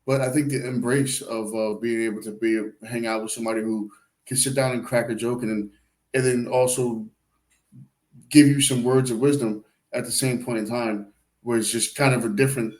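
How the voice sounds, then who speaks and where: A man chatting casually as a podcast guest, relaxed, with stammers and "you know what I mean". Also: medium pitch, normal speed, low-quality recording. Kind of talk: casual chat.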